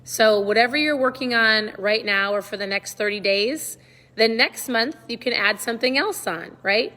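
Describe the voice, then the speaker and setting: A middle-aged woman with a slightly hoarse voice, chatting casually and encouragingly to viewers as the host of a livestream. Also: on the high side, normal speed, bright, forceful tone, big-room sound. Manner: upbeat, encouraging, conversational. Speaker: online coach hosting a live check-in.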